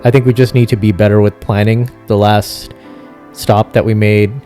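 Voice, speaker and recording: A man with a fairly low voice chats casually as he hosts a driving game roleplay. His tone is mellow and easygoing, and his phrasing is a little halting. Faint music plays underneath. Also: level tone. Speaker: gaming video host. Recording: music behind the voice.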